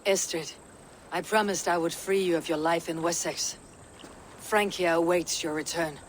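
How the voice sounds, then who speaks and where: An actress playing a steadfast, commanding Viking leader in a video game, speaking in a resolute, formal manner. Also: female voice, fairly low pitch, fairly even intonation, moderate pace, slight background noise, natural voice. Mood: solemn warmth. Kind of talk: dramatic video game acting.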